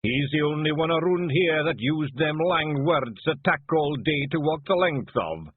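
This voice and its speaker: A man's voice: on the high side, animated, measured pace, slightly rough, no room sound, pitched above the performer's own voice. A man voicing a big, dim, blunt fairy warrior with a broad Scottish accent and a matter-of-fact delivery. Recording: phone-like narrow band.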